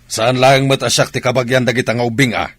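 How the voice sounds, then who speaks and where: A man playing a gruff, hard-hearted, grudging husband in a radio drama. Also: medium pitch, flat delivery, brisk, dry recording, close to an everyday voice. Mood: annoyed, cold.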